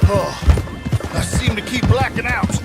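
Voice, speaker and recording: A man's voice: very high voice, takes it slowly, vocal fry, full character voice. A man playing a whining, wheedling quack healer on the run, theatrical, florid and pleading, in a video game. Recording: music bed behind game dialogue.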